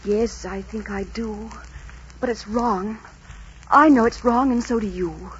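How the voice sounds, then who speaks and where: An actress playing a proud, romantic young gentlewoman in 1940s radio style, speaking formally and with earnest drama. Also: on the high side, unhurried, a little hiss or hum, lightly acted. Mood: earnest, loving. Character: spirited young high-born woman, romantic and proud. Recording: dull 1940s radio recording with music.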